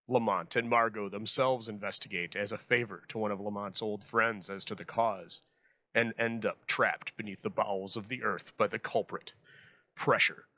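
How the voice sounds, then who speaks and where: A man hosting with scripted, theatrical narration and deliberate emphasis. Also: lowish voice, sharp tone. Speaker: host introducing old radio episodes.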